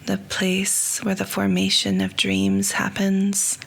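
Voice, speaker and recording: A woman leads a guided yoga nidra meditation in a soft, hushed voice that often drops into a whisper. She speaks very slowly on a low, even pitch with a gravelly fry. A faint hiss sits under the voice.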